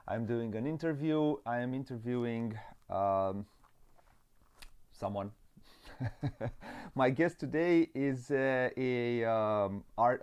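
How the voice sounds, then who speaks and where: Slow, hesitant man hosting. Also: mid-range voice, a little mellow, clear, resonant tone. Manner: hesitant, many fillers, cheerful. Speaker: podcast host. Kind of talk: hosting an interview. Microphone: video call.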